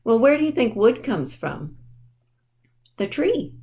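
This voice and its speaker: Slow-paced woman teaching children. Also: on the high side, very animated, mellow. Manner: instructional, checks understanding with questions; deliberate, spells things out and repeats. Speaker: library storytime presenter. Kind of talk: simple educational talk to children with questions.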